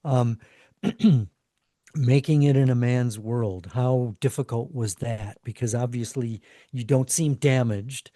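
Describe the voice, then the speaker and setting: A man with a mellow, warm voice, recorded close and dry with almost no room sound. He is a veteran journalist appearing as a podcast guest. He asks teasing, probing follow-up questions. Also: mid-range voice, takes it slowly, no echo. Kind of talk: casual interview chat.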